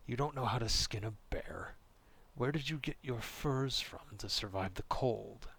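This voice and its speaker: A man acting out a gruff, proud, distrustful werewolf in an ASMR roleplay, stern and curt but grudgingly caring, in a gravelly half-whisper. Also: mid-range voice, a little slow, a bit bright, pitched above the performer's own voice, heavily acted. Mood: exasperated, scornful.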